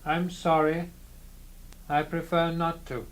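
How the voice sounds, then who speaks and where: An actor playing a pale, mild young copyist who refuses tasks in flat, quiet, polite words, in a radio drama. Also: male voice, on the high side, takes it slowly. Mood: calm.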